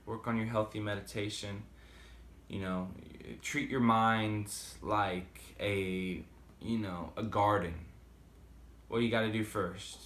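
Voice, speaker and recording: A man's voice: on the low side, echoey room. A man with a slight Boston accent talking casually and rambling with many fillers in a motivational vlog. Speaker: vlogger. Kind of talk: casual motivational talk to camera.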